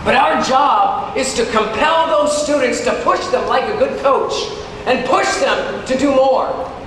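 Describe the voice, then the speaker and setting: A man with a high voice gives a keynote speech in a conversational yet dramatic way, stretching key words for emphasis. He sounds distant from the microphone in a big, heavily echoing hall, with clear background noise. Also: lively intonation, measured pace, a bit bright. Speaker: keynote speaker, teacher. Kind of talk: motivational keynote speech to teachers. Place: large hall at a teachers' conference. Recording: very echoey hall, distant, noisy.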